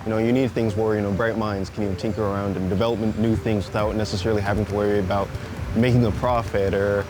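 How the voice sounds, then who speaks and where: A man's voice: fairly low pitch, flat delivery, fast, noisy background. A man giving casual, explanatory remarks in an interview recorded outdoors in a city.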